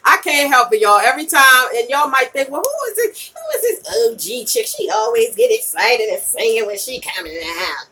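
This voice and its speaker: A woman's voice: fairly high pitch, wildly swooping pitch, moderate pace, hardly any pauses, bright, forceful tone, breathy edge, recorded from a distance, low-quality recording. A woman in her late forties giving candid, emphatic advice in a casual, slangy livestream chat, repeating her points for weight. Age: late 40s. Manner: emphatic and repetitive, punctuated with "okay?" and "you know". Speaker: vlogger and podcaster chatting live with viewers.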